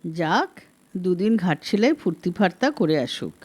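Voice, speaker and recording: A woman's voice: fairly low pitch, sing-song, moderate pace, darkish tone, slightly rough, pitched below the performer's own voice. A woman voicing a scolding, exasperated middle-aged wife in a story, emphatic and reproachful. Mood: reproachful nagging. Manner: emphatic, reproachful, rhetorical questioning.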